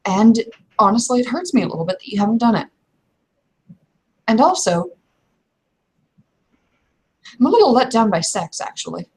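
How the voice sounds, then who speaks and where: A woman playing a proud, blunt young werewolf shield maiden in a tabletop game, with a husky voice and frank, halting phrasing. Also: a little high, animated, darkish tone. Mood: blunt, let down. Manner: frank, hesitant phrasing but unyielding.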